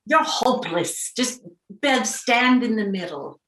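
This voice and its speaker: A woman's voice: a little high, animated, takes it slowly, fairly bright tone. A woman playing a bossy, determined older woman in a staged reading of a play. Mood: bossy, coaxing. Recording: roomy, echoey sound.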